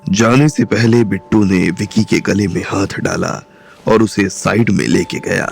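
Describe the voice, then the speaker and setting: A man narrating an audio drama with steady storytelling. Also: deep voice, unhurried, gravelly. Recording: soft music bed underneath.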